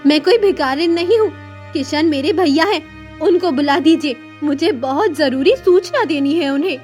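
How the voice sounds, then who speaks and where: Dutiful elder sister for a moral cartoon, voiced by a woman: high pitch, earnest tone, faint musical backing. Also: moderate pace, darkish tone, faint music behind, natural voice. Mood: indignant. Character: dutiful, self-sacrificing elder daughter. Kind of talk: cartoon story dialogue.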